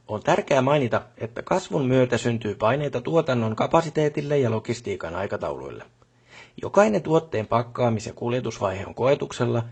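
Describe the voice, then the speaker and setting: A man's voice: medium pitch, slightly rough. A man playing a pompous middle-aged company boss in a video game, with a stiff, long-winded, bureaucratic way of speaking. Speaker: job boss character. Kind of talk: formal scripted meeting speech in a video game.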